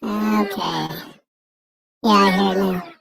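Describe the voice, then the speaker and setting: A man's voice: fairly even intonation, slow, creaky, background noise, exaggerated voice. A man using a voice changer to sound like a small baby-voiced child. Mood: chatty. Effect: voice changer pitch-up.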